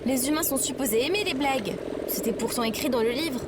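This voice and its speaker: A woman's voice: high-pitched, brisk, very noisy, lightly acted. A woman playing an earnest, curious and naive female AI droid in an audio drama. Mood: indignant.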